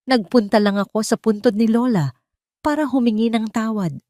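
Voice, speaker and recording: A woman voicing an outwardly meek, soft-spoken wife in an audio drama, gentle and submissive with hidden resolve. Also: on the high side, normal speed, dry recording, studio quality, voice pushed up, natural voice. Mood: meek, apologetic. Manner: gentle, submissive, sweet-toned.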